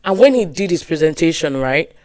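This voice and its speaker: A young woman co-hosting a podcast, telling stories in casual chat with emphatic, animated delivery. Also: deep voice, a little fast. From Nigeria. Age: young adult. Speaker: podcast co-host.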